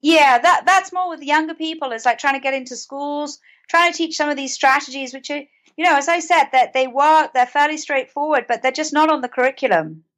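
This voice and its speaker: A woman's voice: sits high, a little fast, fairly bright tone. A woman with a British accent speaking as an interview guest, earnest and conversational, often repeating words as she goes. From United Kingdom. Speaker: podcast guest, author and mental health advocate.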